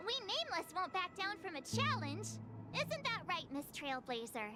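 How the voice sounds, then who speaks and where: A woman's voice: very high voice, big pitch swings, normal speed. A woman voicing an upbeat, eager young girl adventurer in a video game. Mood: spirited. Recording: loud music under the voice.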